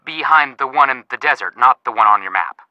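A man's voice: medium pitch, expressive, a little fast, no echo, highs cut off, natural voice. An actor voicing a wry, teasing man who hands out playful clues in a video game. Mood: playful, helpful. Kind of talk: video game voice acting, hint dialogue.

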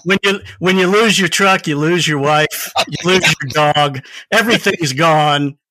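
A man's voice: fairly high pitch, measured pace, pushed and bright, no room sound, poor audio. A man speaking as a podcast guest, explaining things thoughtfully in a conversational expert discussion.

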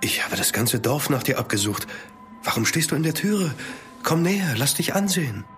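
An actor playing an ardent young nobleman in a horror audio drama, earnest and expressive. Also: mid-range voice, normal speed, faint music behind. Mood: tender, concerned. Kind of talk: dramatic first-person narration and dialogue.

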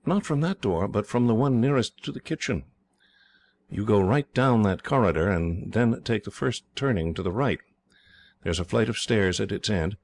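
A frightened young girl speaks in a high, hesitant voice, stumbling over her words, as a male narrator puts her on. She sounds timid and eager to please, like a figure from a storybook adventure. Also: expressive, a little mellow. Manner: timid, breathless, halting. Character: frightened young English girl raised as a slave.

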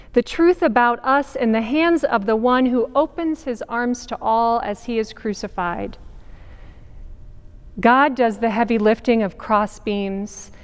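A woman in her forties preaching a sermon as pastor in a large church sanctuary, measured and deliberate, with emphatic pauses, recorded from a distance. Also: a little high, clean tone. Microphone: camera at a distance, wide sanctuary shot. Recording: long church reverb.

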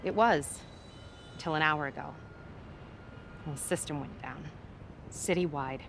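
An actress playing a no-nonsense, weary female police captain in a video game, brisk and dry. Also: low voice, vocal fry, fairly bright tone, slight background noise, full character voice. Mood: dry, businesslike.

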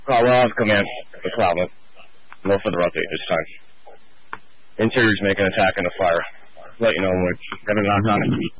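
Male fire officer, lowish pitch, fireground reports, dull two-way radio feed. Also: fairly quick, long pauses. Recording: dull band-limited radio scanner feed.

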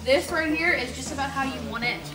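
A woman's voice: high-pitched, brisk. A woman in her twenties from the southern United States casually showing how to cook in a home kitchen. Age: 20s. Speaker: home cook presenting a recipe. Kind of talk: casual cooking demonstration. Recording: loud music behind, home kitchen.

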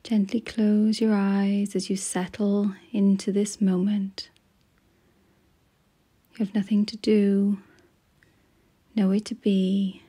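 A woman narrating a guided meditation in a soft, breathy, near-whispered voice, gentle and soothing, with long pauses. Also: mid-range voice, little pitch movement.

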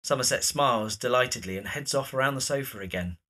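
A man's voice: medium pitch, fast, pushed and bright. A man narrating scripted stage directions for an audio drama.

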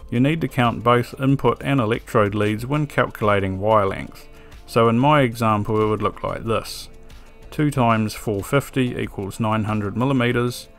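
A man giving a calm, methodical scripted voice-over for an electronics build tutorial, with a dry sense of humor. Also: on the low side, vocal fry. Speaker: electronics DIY video maker. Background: soft background music.

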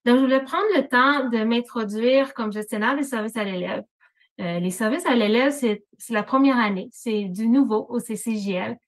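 A woman's voice: a little high, moderate pace. A woman in her forties with a Franco-Ontarian French accent gives an organized presentation to a committee over a video call. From Northern Ontario, Canada. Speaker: student services manager.

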